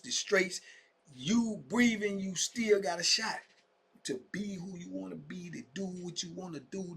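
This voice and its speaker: Man, 50s, husky voice, loose street-slang storyteller, talks straight to his viewers. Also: high voice. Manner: street slang, many fillers like "man" and "you dig"; sometimes drops to a whisper. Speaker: vlogger telling his own prison story. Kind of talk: casual storytelling monologue to camera.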